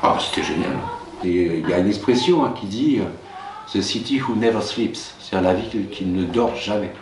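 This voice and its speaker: A middle-aged man with a very low voice and Russian as his native language, telling his own story slowly and thoughtfully, stopping often to search for words. He sounds set back from the mic in a roomy space, with a faint hum of ambience behind him. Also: recorded from a distance. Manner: hesitant, many pauses and fillers. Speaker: teacher being interviewed. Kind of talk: reflective personal recollection.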